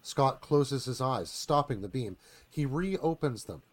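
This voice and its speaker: A man's voice: medium pitch, animated, measured pace. A man reading screenplay narration dramatically for a live radio theatre performance.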